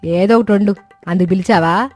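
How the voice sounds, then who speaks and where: A woman's voice: medium pitch, fairly quick, a little mellow, soft background music, close to an everyday voice. Woman playing a grumpy, lazy mother-in-law. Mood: grumpy, indignant. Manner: indignant, bossy grumbling. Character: lazy, grumpy middle-aged mother-in-law, quick to scold.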